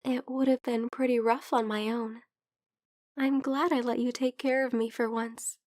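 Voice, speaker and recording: A woman playing a gentle, teasing female vampire friend who is unwell, speaking softly and hesitantly in an ASMR roleplay. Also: a little high, flat delivery, moderate pace, no room sound, clean studio sound, close to an everyday voice. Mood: warm, thankful. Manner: soft, hesitant, playfully teasing. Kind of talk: soft-spoken ASMR roleplay.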